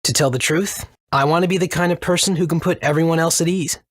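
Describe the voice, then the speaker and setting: A man's voice: fairly high pitch, fairly even intonation, fast talker, a bit bright. A man voicing a kindly, thoughtful young mage in a video game, easygoing and reflective. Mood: gently reflective.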